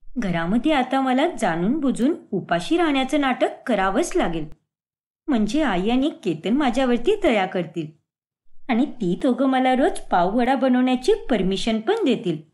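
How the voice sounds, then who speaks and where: A stubborn young daughter-in-law in a cartoon story, played by a woman. She complains in a high, swooping voice, dramatic and aggrieved. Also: big pitch swings, normal speed, a little mellow. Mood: scheming. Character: whiny, stubborn young daughter-in-law who loves food. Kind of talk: animated moral story dialogue.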